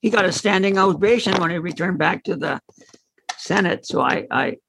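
High, creaky-voiced man telling anecdotes. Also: animated. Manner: halting, piecemeal storytelling. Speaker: book club member. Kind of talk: casual anecdotal discussion. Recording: clearly noisy recording with clicks.

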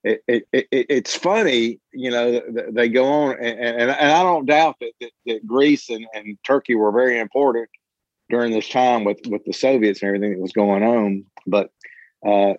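A man talking casually in a group discussion, halting and often repeating his words as he goes. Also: medium pitch, dry recording, dull audio. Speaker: book club member.

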